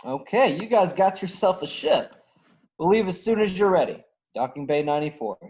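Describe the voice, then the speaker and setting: A man's voice: sits high, expressive, normal speed, darkish tone. A man playing a cocky, tough, roguish star pilot of about thirty in an online script reading. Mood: cocky bargaining. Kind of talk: script table read. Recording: dull, lo-fi online call.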